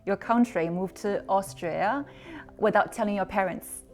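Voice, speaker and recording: A woman hosts an interview at a middle pitch, guiding her guest with friendly, leading questions. Faint music sits under her voice. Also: a little slow, darkish tone. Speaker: interview host. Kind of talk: interview hosting.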